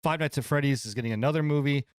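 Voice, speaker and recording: A man's voice: medium pitch, very fast, clear, resonant tone, no room sound, clean studio sound. Casual slangy male podcast host.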